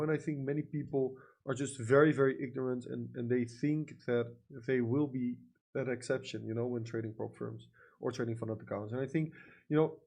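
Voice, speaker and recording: Male, young adult, Dutch accent, gravelly, mid-pitched. Casual stream talk, filler-heavy, blunt. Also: darkish tone. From Netherlands. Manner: frequent fillers like "you know" and "like", blunt and profane. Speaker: livestream trader. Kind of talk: casual livestream chat reading comments.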